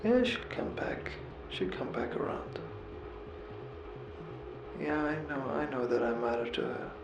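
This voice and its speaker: Gravelly man in a halting, heartfelt monologue. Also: medium pitch, expressive, dull audio, background noise. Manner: halting, repeats words and phrases, pausing mid-sentence; earnest, reflective. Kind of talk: heartfelt, confessional voicemail monologue. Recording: roomy echo, music underneath. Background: music.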